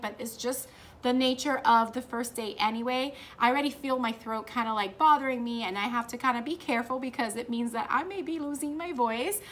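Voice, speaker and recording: An excitable young woman talks to a propped-up camera in her classroom, chatting about her school day. Her voice sits a little on the high side, and her manner is upbeat and chatty. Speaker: fourth grade teacher and vlogger. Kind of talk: casual vlog chat. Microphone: camera propped nearby.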